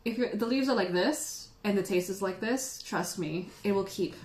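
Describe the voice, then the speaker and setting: A woman's voice: mid-range voice, normal speed, a little mellow, a little hiss or hum. Chatty, laughing woman tea host. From Philippines. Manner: chatty and playful, laughs often. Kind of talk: casual livestream chat answering viewers. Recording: echoey room.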